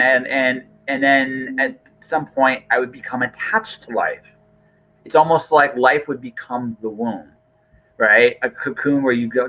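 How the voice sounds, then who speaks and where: A man's voice: medium pitch, narrow, tinny audio. A man talking casually as a podcast host, earnest and rambling, often restarting his phrases.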